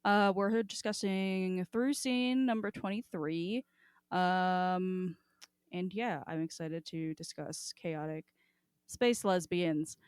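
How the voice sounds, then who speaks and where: A woman's voice: on the low side, takes it slowly, smooth, dry recording. Playful, sweary woman podcast host, close dry sound. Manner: profane, playful. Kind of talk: casual podcast chat.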